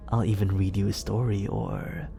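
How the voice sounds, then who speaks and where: A man playing a scheming, teasing villain mentor in an intimate ASMR roleplay, with breathy, silky, theatrical delivery up close to the microphone. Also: on the low side, fairly even intonation, dark tone, soft background music. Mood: teasing, fond. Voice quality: breathy, often dropping into whispers. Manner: theatrical, deliberate, silky persuasion. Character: smooth scheming villain mentor, teasing, grandiose, secretly fond. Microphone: close studio condenser microphone.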